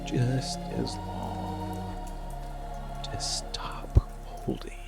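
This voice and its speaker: A man voicing a dark, seductive, protective monster lover in an ASMR roleplay, speaking in a breathy, gravelly murmur with deliberate pauses. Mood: coaxing, soothing. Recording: rain and music behind close voice. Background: soft music and rainfall.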